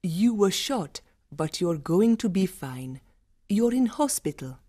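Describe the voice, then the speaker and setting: A woman's voice: mid-range voice, very animated, takes it slowly, mellow. A woman playing a tender, soothing middle-aged mother in an audiobook drama. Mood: tender, relieved.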